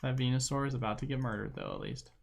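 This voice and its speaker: A man's voice: medium pitch, steady tone, fast talker, creaky. A young man hosting a livestream, chatting casually and rambling with lots of fillers and slang while he plays a game. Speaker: livestream host. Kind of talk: casual chat while gaming.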